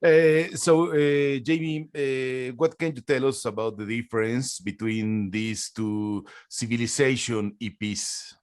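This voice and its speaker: A man's voice: medium pitch, fairly even intonation, takes it slowly, hardly any pauses. A man whose first language is Spanish, interviewing a band in English with frequent hesitations and filler sounds. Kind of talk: interview questions on a video call.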